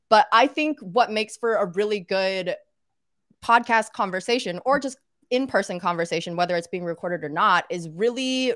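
A woman's voice: lowish voice, no room sound, clean studio sound. A woman in her thirties from Arkansas, chatting casually as a guest on a video-call interview, with lots of "like" and "you know". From Arkansas, United States. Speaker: podcast host and guest. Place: home room, indoors. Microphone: webcam on a video call.

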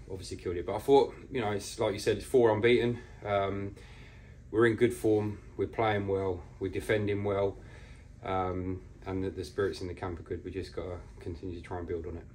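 A man in his forties, a football manager, giving a measured post-match interview with pauses as he thinks. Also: low-pitched, darkish tone, a little hiss or hum. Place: small bare indoor room.

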